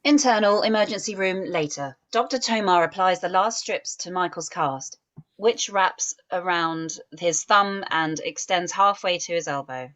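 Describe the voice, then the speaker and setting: Slightly low-voiced woman reading stage directions. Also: measured pace, a bit bright. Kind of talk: scripted narration in a table read.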